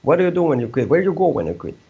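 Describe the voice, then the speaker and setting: A man's voice: on the high side, big pitch swings, very fast, creaky, mellow, breathy edge, highs cut off. A man from Serbia with a Serbian accent, chatting casually as a podcast guest, candid and emphatic, often repeating himself.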